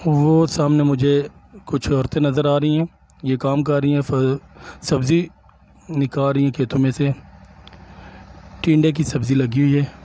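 A man, a village vlogger from Punjab, calmly explaining sights to viewers while he walks outdoors and films on his phone. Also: on the high side, measured pace, long pauses, slight background noise. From Punjab, Pakistan. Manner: calm, explanatory, addresses viewers directly. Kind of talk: walking tour narration.

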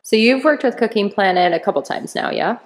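A woman's voice: medium pitch, fairly quick, a little hiss or hum. A woman chef interviewing a friend in a home kitchen, chatty and friendly, with plenty of fillers. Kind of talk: casual interview. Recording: roomy home kitchen sound.

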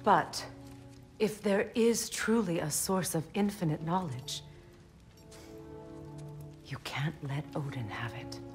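A woman's voice: sits low, slow pace, long pauses, music in the background. A woman playing a resolute, wary warrior goddess in a fantasy video game drama. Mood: resolute warning.

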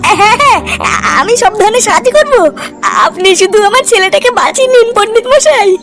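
Really high squeaky cartoon village dad, whiny and panicky, swoops around, faint music behind. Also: expressive, brisk, fairly bright tone. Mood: pleading. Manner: agitated, insistent, exclaiming. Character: frantic, frightened village father, loud and whiny. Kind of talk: comic cartoon dialogue in colloquial Bengali.

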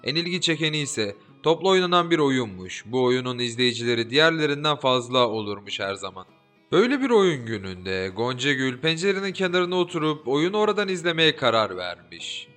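A man narrating a fairy-tale audiobook in an expressive, lilting storytelling manner. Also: mid-range voice, a little fast. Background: soft background music.

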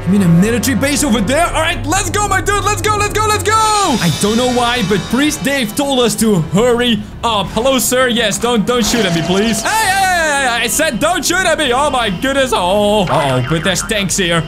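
A man in his twenties hosting a gaming video with excited, playful, exclamatory commentary, close on the mic. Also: very high voice, moderate pace, few pauses, music in the background. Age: 20s.

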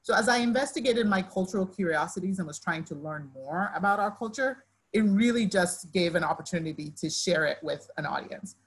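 A woman in her thirties from Nigeria talks about her work over a video call, her voice a little low and husky. She restarts often and gestures as she speaks. The sound comes through a laptop webcam in a living room. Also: brisk, poor audio. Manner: animated, talks with her hands, many likes and restarts. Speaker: fashion designer guest. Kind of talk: casual panel conversation.

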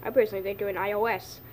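A woman's voice: fairly high pitch, slight background noise, natural voice. A woman playing a brash, playful polar bear in an amateur cartoon skit, blunt and teasing.

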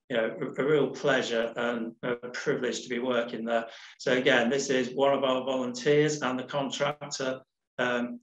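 Middle-aged man, relaxed talk over slides, echoey webcam audio. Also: mid-range voice. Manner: relaxed, rambling, many restarts and ums. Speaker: nature reserves manager. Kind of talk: casual presentation over slides. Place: home room on a video call.

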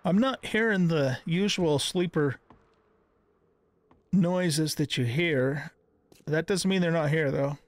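A man's voice: fairly high pitch, slow, long pauses, no echo. A middle-aged man giving casual gameplay commentary, thinking aloud in a conversational way. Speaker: gaming video host.

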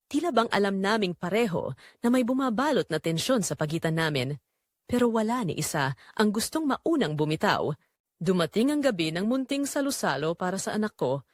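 Romance audio drama lead: actress as yearning married mother, confessional, lowish pitch, dry close sound, dramatic pauses. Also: no room sound. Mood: longing, tense. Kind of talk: first-person romance audio drama narration.

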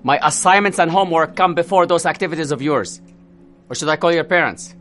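Guy playing a chill math teacher, dry jokes, bright voice, little bit of music under it. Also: on the high side, fairly quick, lightly acted. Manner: dry, deadpan humor. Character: calm, dry-witted male math teacher. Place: classroom indoors.

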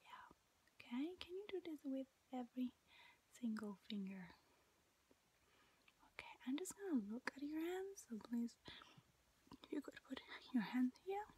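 A young adult woman whispers softly and gently gives instructions in an ASMR medical exam roleplay. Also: sits high, animated, a little slow, pauses often, husky. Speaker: ASMR performer playing a medical examiner. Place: small home bedroom, indoors.

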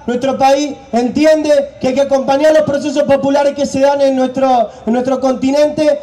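A man's voice: extremely high pitch, dark tone. A young man delivering an emphatic solidarity speech at an outdoor rally through a handheld mic. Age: young adult. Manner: emphatic, raised-voice oratory. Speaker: student federation delegate. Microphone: handheld mic over a PA.

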